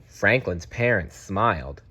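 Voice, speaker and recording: A man narrating a children's storybook aloud in an expressive, deliberate way. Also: low-pitched, slightly rough.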